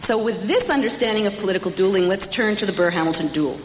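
A woman's voice: medium pitch, quick pace. Woman lecturing in an echoey hall. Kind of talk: prepared history lecture delivered to an audience. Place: large reverberant hall. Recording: echoey hall, muffled, some background noise.

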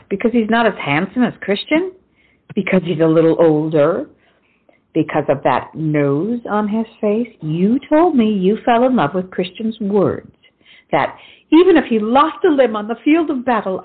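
A woman's voice: mid-range voice, big pitch swings, normal speed, slightly rough, narrow, tinny audio. A woman playing a blunt, outspoken, loyal friend who scolds theatrically in a period stage comedy. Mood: scolding.

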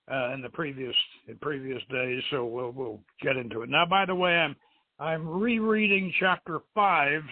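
A man hosting a radio show, introducing and reading aloud an adventure story, on a muffled narrow-band recording. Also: medium pitch. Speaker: show host and novelist reading own story.

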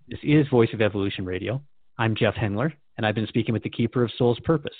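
A man's voice: medium pitch, no room sound. A man hosting a radio drama interview in a thoughtful, courteous manner. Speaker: radio show host. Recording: dry, narrow phone-like band.

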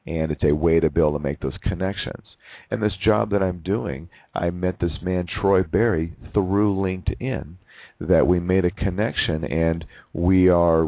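Very deep, gravelly man on a lo-fi line. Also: no echo. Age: 40s. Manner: halting, repeats words and restarts often. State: losing his voice from overwork. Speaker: podcast host. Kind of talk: reflective personal monologue. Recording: narrow phone-like sound.

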